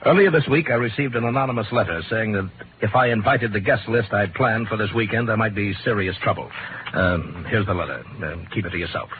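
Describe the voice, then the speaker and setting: A big, blustery millionaire, played by a man talks formally in a lowish voice. The vintage radio drama sound is thin and narrow, with faint noise. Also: fairly quick, close to an everyday voice. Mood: businesslike, guarded. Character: blustery, big, wealthy male tycoon client. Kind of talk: old-time radio detective drama acting. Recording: tinny, faintly noisy old radio.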